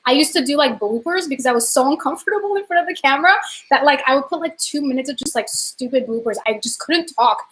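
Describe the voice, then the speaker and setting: A young woman chatting casually on a video call from home, upbeat and animated as she talks about her art business. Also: sits high, fast talker, low-quality recording. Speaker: co-host, art business owner. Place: home room. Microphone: webcam on a video call.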